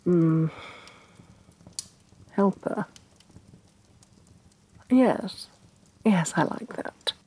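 Woman playing a breathy, haughty evil queen. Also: fairly low pitch, very slow, pauses often, heavily acted. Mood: dismissive, amused. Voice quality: soft, breathy. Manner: imperious, sardonic, sighing condescension. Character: domineering evil sorceress queen, haughty, vain, grudgingly softening. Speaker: voice actress. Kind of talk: soft-spoken ASMR fantasy roleplay, acted monologue.

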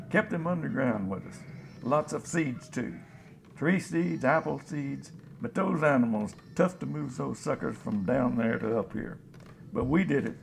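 Man acting a folksy gravelly disaster survivor. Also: medium pitch, a little hiss or hum. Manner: folksy, plain-spoken. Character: folksy, hopeful scavenger, survivor of global disaster. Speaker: actor in a radio drama. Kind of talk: radio drama, interview monologue.

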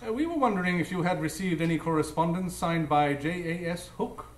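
A man playing a shrewd, gentlemanly older detective in a live radio drama, formal and probing. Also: fairly high pitch, brisk, natural voice. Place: live stage before an audience. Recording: echoey live stage.

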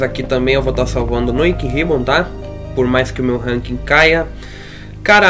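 A man with a Brazilian accent giving casual, slangy let's play commentary as he plays. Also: fairly high pitch. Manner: informal, slangy. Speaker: gaming YouTuber.

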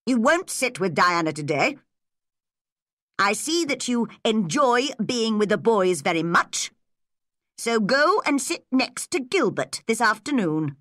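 A woman's voice: fairly high pitch, very animated, a little slow, a bit bright, no echo, clean studio sound, voice pushed up. A woman voicing a stern, unfair male village schoolteacher in a storybook, firm and scolding.

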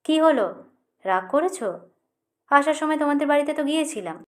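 A woman reader acts out an affectionate young woman from an audiobook. She fusses and coaxes anxiously in a high, insistent voice. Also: expressive, normal speed. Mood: fussing. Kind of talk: audiobook character dialogue.

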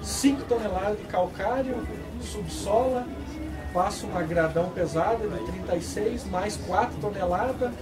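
A man talking casually at an outdoor fair, reeling off numbers quickly. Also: high-pitched, over music. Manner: quick talk full of numbers. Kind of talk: casual talk at a farm fair. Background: crowd chatter.

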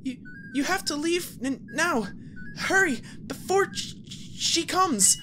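Woman as high stammering timid character. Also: lively intonation, takes it slowly, exaggerated voice. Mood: anxious urgency. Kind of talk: fan dub game voice acting. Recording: music over the voice.

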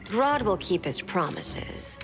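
An actress playing a cool, sultry, disdainful eco-villainess in a video game, with a smooth delivery. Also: female voice, mid-range voice, sing-song, takes it slowly, music in the background. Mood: cool, threatening. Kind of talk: cinematic video game acting.

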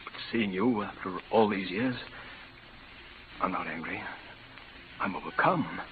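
Stern, proud rancher on scratchy old radio. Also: male voice, low voice, unhurried. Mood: softened. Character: stern, proud frontier sheep rancher, jealous groom. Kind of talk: 1940s-50s radio western acting. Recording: muffled, noisy vintage radio recording.

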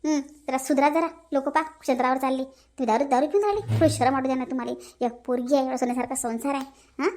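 Comedy cartoon housewife, acted: middle-aged, bossy, very shrill-high pitch, Varhadi Marathi, emphatic scolding. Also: steady tone, fast, darkish tone, natural voice. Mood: indignant, bossy. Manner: scolding, emphatic, repeats phrases. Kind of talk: comic family cartoon dialogue.

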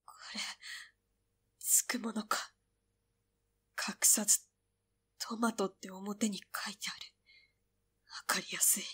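A woman playing a fragile but stubbornly devoted young girl in an audio drama, breathy and hesitant. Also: medium pitch, flat delivery, sharp tone, full character voice. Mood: numb, halting. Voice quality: breathy, whispery. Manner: hesitant, halting.

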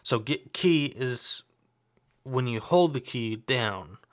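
A young man with a slight sore throat, talking through a screen-recorded coding tutorial in a relaxed, explanatory way. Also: mid-range voice, slow. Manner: relaxed, explanatory, thinks aloud with fillers. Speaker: tutorial host.

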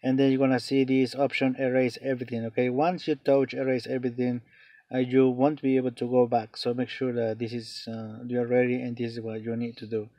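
Man, tutorial host, monotone, halting with fillers, non-native phrasing, dry dull recording. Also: mid-range voice, no room sound. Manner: hesitant, many fillers and restarts. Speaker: tech tutorial channel host. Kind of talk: off-camera phone tutorial voice-over.

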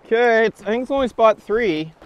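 A man's voice: very high-pitched, expressive, a little fast, a little hiss or hum. A young man with a Canadian accent, talking casually as he hosts a fishing vlog outdoors on a boat. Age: young adult. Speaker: host of a fishing show. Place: outdoors on a small boat on a lake.

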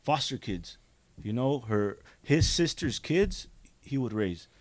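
A man's voice: medium pitch, very animated, takes it slowly, no room sound. A man in his thirties, a podcast guest raised in Mexico and California, telling his life story in a rambling way, close to a studio desk mic in a small studio. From raised in Juarez, Mexico and Azusa. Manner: rambling, repeats "you know". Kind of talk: casual storytelling.

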